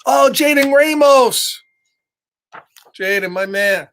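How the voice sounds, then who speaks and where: A man's voice: extremely high pitch, lively intonation, slow pace, fairly bright tone. A man reading a picture book aloud to children in a playful, chatty way, breaking off casually to address his young listeners. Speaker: children's storyteller.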